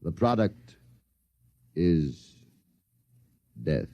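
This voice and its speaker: An actor playing a coldly polite, condescending Martian invasion agent in a 1950s radio drama. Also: male voice, low-pitched, big pitch swings, no echo, highs cut off, full character voice. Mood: smug menace. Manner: suave, condescending, unhurried menace.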